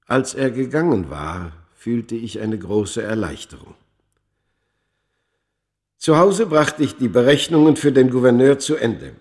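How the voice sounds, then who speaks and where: A man playing a proud ancient Egyptian who narrates his own story in a radio play, solemn, measured and dramatic. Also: medium pitch, animated, darkish tone, close to an everyday voice. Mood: uneasy. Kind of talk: literary first-person narration in a radio play.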